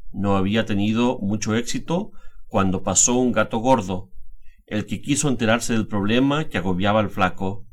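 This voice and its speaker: A middle-aged man from Chile with a Chilean Spanish accent, calmly reading a scripted language lesson. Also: lowish voice. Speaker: language teacher, guest speaker. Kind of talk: scripted instructional narration.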